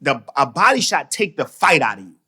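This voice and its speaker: A man talking casually on a podcast, animated and slangy, often repeating phrases. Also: fairly high pitch, a little fast, breathy edge. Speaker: podcast guest, mental toughness trainer.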